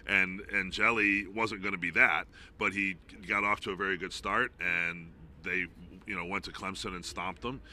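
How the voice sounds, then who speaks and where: A man's voice: deep voice, fairly even intonation, moderate pace, sharp tone, a little hiss or hum. A man who works as a play-by-play announcer, giving informal, discursive sports analysis as an interview guest.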